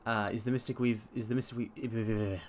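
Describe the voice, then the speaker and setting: A man's voice: medium pitch, flat delivery, moderate pace, a little mellow, a little hiss or hum. A man hosting a livestream and reading aloud in a theatrical manner, with formal, old-fashioned phrasing. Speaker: livestream host and story reader. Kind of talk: livestream chat and dramatic reading.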